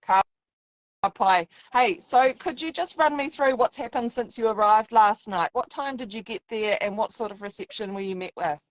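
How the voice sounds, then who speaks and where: A woman's voice: a little high, moderate pace, no room sound. A woman with a New Zealand accent hosting a live-stream interview in an informal, encouraging way. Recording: dull lo-fi live stream audio.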